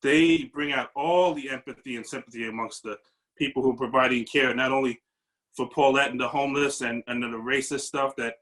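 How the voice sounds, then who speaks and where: A man joining over a video call speaks with a forceful, tense edge to his voice. His tone is earnest and reflective, and he sometimes stops and restarts as he works through his thoughts. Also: mid-range voice, fairly even intonation, brisk, poor audio. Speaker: paramedic lieutenant, panel guest. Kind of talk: earnest panel discussion.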